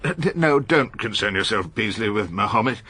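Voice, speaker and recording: A man's voice: fairly low pitch, lively intonation, moderate pace, sharp tone, husky, exaggerated voice. An actor playing an irascible, witty elderly English barrister in a radio drama, pompous and ornate in his phrasing as he talks and narrates. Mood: brisk. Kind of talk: radio drama acting and wry first-person narration.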